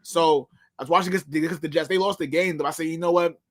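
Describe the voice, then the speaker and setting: A man's voice: fairly high pitch, steady tone, fast talker. A man in his late twenties telling sports-fan stories in casual chat, earnest and repetitive. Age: 20s. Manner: earnest, repeats himself. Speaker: podcast co-host.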